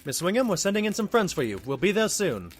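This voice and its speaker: A man's voice: sits high, very animated, fast talker, faint music behind. A man playing an earnest, brave and kind sidekick stallion with a sincere, admonishing manner in an audio drama. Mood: reassuring. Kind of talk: cartoon audio drama acting.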